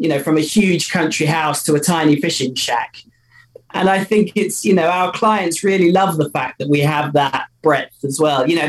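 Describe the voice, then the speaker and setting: A man's voice: on the high side, rough recording. Enthusiastic man, chatty founder. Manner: enthusiastic, loose with many "you know" fillers. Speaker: company co-founder. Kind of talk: conversational interview answers.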